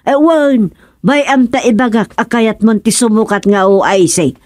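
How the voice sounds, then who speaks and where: A woman's voice: on the high side, measured pace, darkish tone, no room sound, studio quality, close to an everyday voice. A woman with an Ilocano accent playing a plain-spoken, teasing village woman in a radio comedy drama, blunt and questioning.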